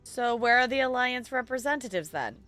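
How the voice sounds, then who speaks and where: A woman's voice: a little high, lively intonation, brisk, a bit bright, soft background music. A woman streaming a video game live, commenting expressively and playfully and reading dialogue choices aloud.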